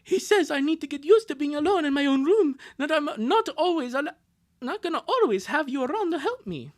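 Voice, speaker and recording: A performer voicing a scared little cartoon boy who boasts that he is big, exclaiming in a squeaky voice. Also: very animated, fairly quick. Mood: hesitant.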